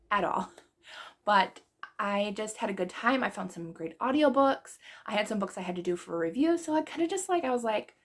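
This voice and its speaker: A woman book vlogger losing her voice, chatting casually through her monthly reading wrap-up with rambling asides and small laughs. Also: medium pitch.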